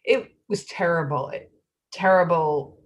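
An actress playing a wife who recalls her husband's near miss in the floodwater, speaking haltingly and repeating words. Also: on the low side, lightly acted.

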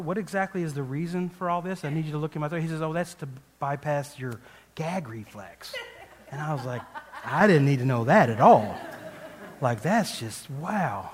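A man's voice: a little high, lively intonation, moderate pace. Self-deprecating male preacher in a reverberant hall. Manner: rambling, self-deprecating, frequent restarts and laughs. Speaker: guest preacher. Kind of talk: conversational sermon with personal story.

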